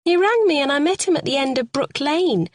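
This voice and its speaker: A woman voicing a distraught, battered woman who pleads through tears in a high voice. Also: animated, fairly quick, no room sound, clean studio sound. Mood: pleading.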